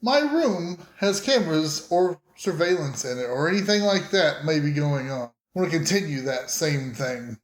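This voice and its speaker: A man playing a tabletop game, describing his character's actions in animated, casual improvised talk, recorded in a roomy space. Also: a little high, normal speed, hardly any pauses. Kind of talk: casual improvised role-play chat. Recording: roomy, echoing home recording.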